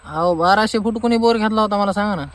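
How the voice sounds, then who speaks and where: A man's voice: high voice, fast, very noisy, close to an everyday voice. A man playing an aggrieved, sarcastic farmer with a rural Maharashtrian Marathi accent, complaining in a comic phone-call skit. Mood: indignant, mocking. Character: aggrieved, sarcastic male farmer demanding refund.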